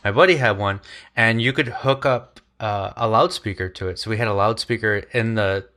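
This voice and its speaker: A man co-hosting a podcast in casual chat, telling a story in an animated way and laughing as he goes. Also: on the low side, moderate pace. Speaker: podcast co-host.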